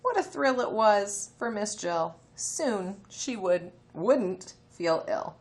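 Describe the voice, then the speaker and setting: A woman's voice: medium pitch, lively intonation, takes it slowly. A woman teacher giving a reading lesson, explaining instructions to children, sounding out letters and reading a passage aloud. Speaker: elementary teacher.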